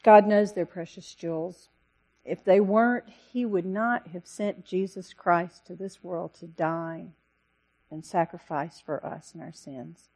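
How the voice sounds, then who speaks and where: A woman missionary giving an earnest, pleading talk to a church congregation, with a loud voice. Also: on the low side, a little slow, rough recording. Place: church service.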